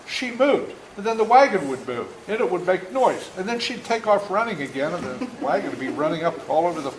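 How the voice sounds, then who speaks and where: Folksy male storyteller, seventies, very animated delivery, reading to kids in an echoey room with faint noise. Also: high-pitched. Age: 70s. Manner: folksy, interactive, acts out moments. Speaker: author reading his book to children. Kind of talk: animated storytelling read aloud to a young audience. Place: indoor room before a school audience. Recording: roomy echo, faint background noise.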